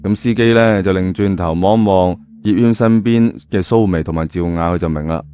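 Man, low voice, colloquial story narration, background music. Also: brisk, mellow. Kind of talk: lively colloquial audio-drama storytelling.

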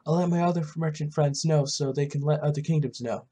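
An earnest game-world merchant acted out by a gamer, concerned and emphatic. The voice is husky and projected, declaiming each line. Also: mid-range voice, fairly even intonation, a little fast, dark tone, natural voice. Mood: grave, earnest.

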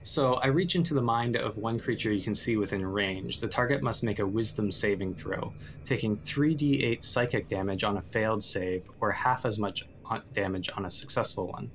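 A man playing a tabletop roleplaying game, improvising casually and haltingly as he thinks aloud. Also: fairly low pitch, fairly bright tone, slight background noise.

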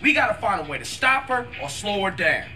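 A man's voice: high voice, very animated, fairly quick, bright, forceful tone, soft background music, full character voice. A man playing a young, slangy, blunt American street hustler in a video game, tough but loyal. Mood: urgent. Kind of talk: video game cutscene acting.

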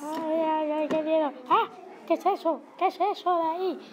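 A child of about ten playing a frightened cameraman in a homemade cartoon, excitable and repetitive, with exclamations. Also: extremely high pitch, normal speed, crowd noise. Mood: panicked. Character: frightened on-the-scene cameraman fleeing giant monsters. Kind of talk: improvised children's cartoon voice-over. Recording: loud music over the voice.

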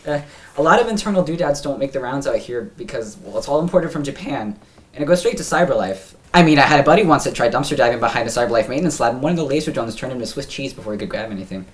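A young American man playing a nervous, rambling small-time parts buyer at a script read, talking in halting slang. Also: medium pitch, animated, close to an everyday voice. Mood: flustered, rambling. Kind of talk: table read of a film script. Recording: distant mic in a room.

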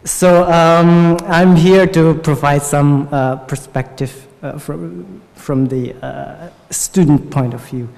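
A man in his twenties with an Indonesian accent, speaking informally and haltingly as a student panelist into a table microphone. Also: a little high, steady tone, creaky, a little mellow. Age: 20s. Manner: halting, searching for words. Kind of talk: informal spoken remarks at a panel discussion. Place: large lecture hall, at a panel table. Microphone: gooseneck table microphone. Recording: reverberant hall sound.

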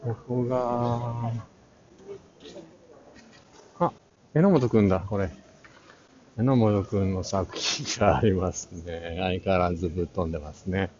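Low-voiced man, unhurried walking commentary. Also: steady tone, darkish tone. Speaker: art channel vlogger giving a walk-through. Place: large crowded indoor exhibition hall. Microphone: action camera at arm's length, face mask on. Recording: muffled audio in a busy crowded hall.

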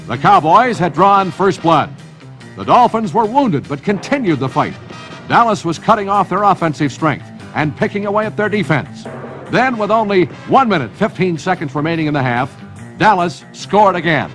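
An American man reading scripted, dramatic narration for a sports highlight film. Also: sing-song, normal speed, a bit bright. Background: music.

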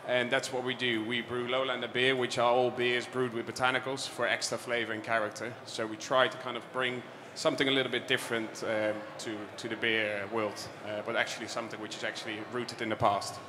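A man introducing himself on a panel in a large hall, speaking in a measured, plain way into a handheld mic. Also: mid-range voice, level tone. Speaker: panelist, brewery founder. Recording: hall reverb, clear background noise.

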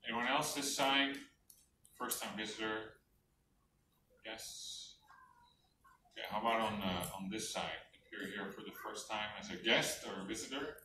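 A man's voice: lowish voice, measured pace, pauses often. A middle-aged man making informal announcements as a church host to the congregation. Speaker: church service host welcoming visitors. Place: church hall with stage and screen. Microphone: handheld mic, recorded by far camera. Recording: echoey church hall, distant mic, noisy.

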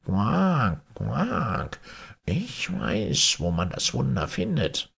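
A man voicing a lame, wise old frog in a fairy tale, husky and strained, with a drawn-out croaking delivery. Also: on the low side, wildly swooping pitch, a bit bright, voice pushed up, full character voice. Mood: croaking, helpful.

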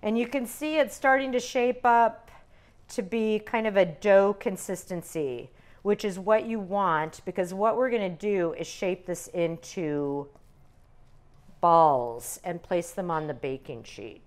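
Calm older woman teaching a recipe. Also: medium pitch, a little slow. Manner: calm step-by-step instruction. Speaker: cooking instructor. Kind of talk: cooking demonstration. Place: home-style teaching kitchen.

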